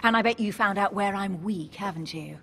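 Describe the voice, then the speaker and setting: A woman's voice: medium pitch, normal speed, bright, forceful tone, a little hiss or hum. An actress playing a guarded, witty, self-possessed woman of a secret society in a video game, composed and articulate. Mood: cool, defiant. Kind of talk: dramatic video game dialogue. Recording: music under the voice.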